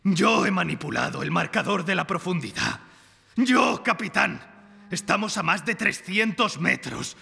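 A man's voice: sits high, gravelly, bright, forceful tone, heavily acted. A man playing a vengeful, grief-crazed German submarine officer in live radio theatre, raving theatrically with taunting questions. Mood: doomed madness. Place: performed before an audience in a library. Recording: reverberant live stage recording with music.

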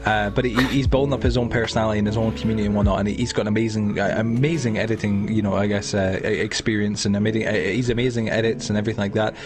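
Scottish guy hosting a podcast, low voice, rattles on quick with music behind. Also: fairly even intonation. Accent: Scottish, Edinburgh. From Scotland. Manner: rambling, many restarts and fillers. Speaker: podcast host. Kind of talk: casual podcast chat.

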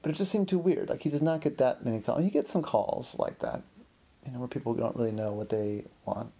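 Gravelly, mellow man telling a casual story. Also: mid-range voice, a little fast. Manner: rambling, conversational, acts out characters' remarks in an exasperated way. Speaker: storyteller, host of a story podcast. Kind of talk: improvised spoken storytelling, casual.

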